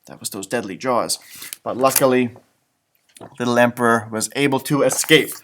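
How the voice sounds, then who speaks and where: A man reading a storybook aloud for children, expressive and dramatic, breaking off for chatty, simple asides and sound effects. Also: mid-range voice, moderate pace, very noisy. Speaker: elementary school music teacher reading storybooks aloud.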